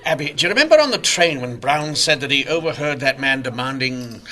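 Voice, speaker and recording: An actor playing a stern, driven Victorian inspector in a radio mystery, with emphatic, intense delivery. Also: male voice, medium pitch, lively intonation, a little fast, sharp tone. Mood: urgent explaining. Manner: emphatic, intense, clipped declarations. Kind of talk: old-time radio mystery acting.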